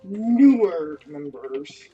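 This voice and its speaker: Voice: medium pitch, sing-song, very slow, mellow, muffled recording. Komentator gim santai dengan tempo agak lambat. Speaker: gaming streamer. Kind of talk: casual game commentary.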